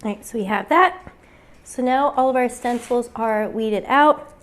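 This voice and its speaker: A woman's voice: on the high side, measured pace. A woman with a weak voice from getting over a cold, chatting casually through a step-by-step crafting tutorial with frequent fillers.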